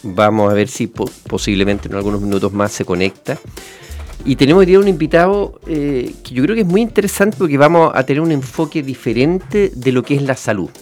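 A man with a Chilean accent hosting a conversational interview, haltingly repeating words and checking in with short tags. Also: mid-range voice, a little mellow, faint music behind. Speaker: interview show host, a doctor.

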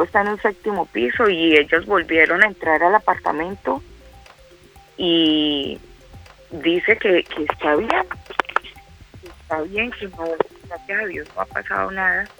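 A woman's voice: lowish voice, measured pace, vocal fry, bright, forceful tone, soft background music, poor audio. A middle-aged Colombian woman speaking by phone, hesitant, with many fillers and repeated words. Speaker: guest caller. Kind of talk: phone interview.

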